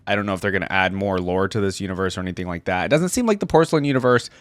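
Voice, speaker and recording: A man's voice: low-pitched, lively intonation, racing, no echo. A young man giving informal, rambling reaction commentary as a video host in a conversational way.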